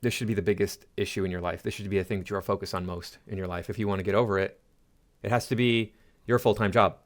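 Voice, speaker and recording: A man's voice: on the low side, steady tone, fast. A man giving casual, opinionated reaction commentary to viewers in a blunt, direct way. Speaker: commentary YouTuber.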